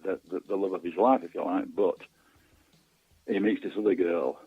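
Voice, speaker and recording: Low husky man on a phone-like line. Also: steady tone, moderate pace, dry recording. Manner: hesitant, stammers over repeated words. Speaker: guest author. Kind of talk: casual interview chat.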